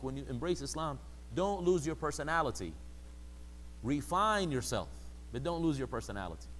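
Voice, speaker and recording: A man's voice: mid-range voice, a little hiss or hum, low-quality recording. A man giving a conversational religious lecture, sometimes acting out quoted people in a mocking voice. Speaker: religious teacher.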